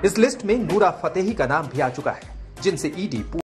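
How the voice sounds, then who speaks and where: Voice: mid-range voice, animated, normal speed. A news narrator reading a scripted report. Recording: music bed under voice. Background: background music.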